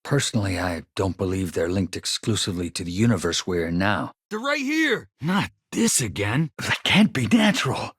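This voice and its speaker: A man's voice: lowish voice, very animated, moderate pace, few pauses, fairly bright tone, husky, dry recording, clean studio sound, exaggerated voice. A man acting in a video game as an earnest, grateful engineer haunted by an accident he survived, speaking politely and a little hesitantly. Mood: alarmed.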